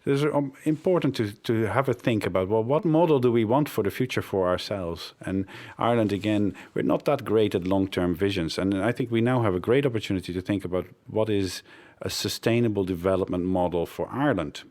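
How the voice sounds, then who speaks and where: Middle-aged Dutch-born male guest, very low voice, reflective and halting. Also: a little mellow. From Netherlands. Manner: thoughtful, hesitant, with fillers and self-corrections. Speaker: interview guest, director of a development network. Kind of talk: reflective conversational interview answers.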